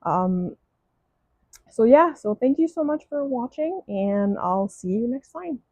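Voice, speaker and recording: A woman's voice: fairly high pitch, lively intonation, slow, a little mellow, no echo. A woman with a French accent, French her first language, chatting casually through a craft kit unboxing, gushing and restarting often. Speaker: crafting vlogger.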